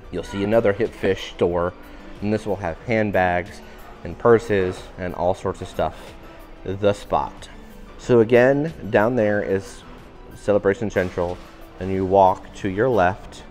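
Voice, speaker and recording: A man giving an upbeat walking tour of a cruise ship, his voice a little raspy today. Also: sits low, a little mellow. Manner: upbeat, enthusiastic guiding. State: slightly raspy voice. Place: indoor public areas of a cruise ship.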